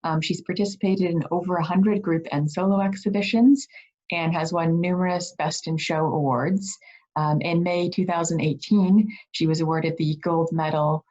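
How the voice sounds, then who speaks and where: A woman's voice: fairly low pitch, steady tone, moderate pace, warm tone, lo-fi sound. A woman, a gallery director, hosting a virtual reception over a video call and reading an introduction with frequent fillers.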